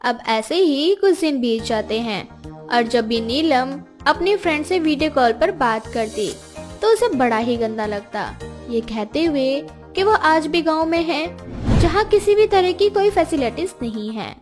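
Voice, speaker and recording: A woman tells a scripted story in a high-pitched voice. She works as the narrator of a moral tale. Faint music plays behind her. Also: lively intonation, a little slow.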